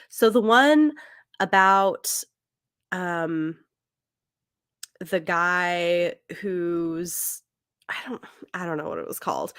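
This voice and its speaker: A woman's voice: lowish voice, fairly bright tone, clear, resonant tone, no echo. Casual woman co-host, very dry close sound. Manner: informal, halting, thinking aloud. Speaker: podcast co-host. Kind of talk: casual book discussion chat.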